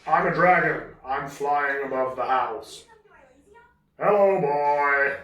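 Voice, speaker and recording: A man's voice: fairly high pitch, slow, a bit bright, dull audio. A man voicing a big, greedy, doting dragon dad in an improvised puppet show, hammy and relishing his words. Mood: boastful. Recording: roomy, echoing, distant from the mic.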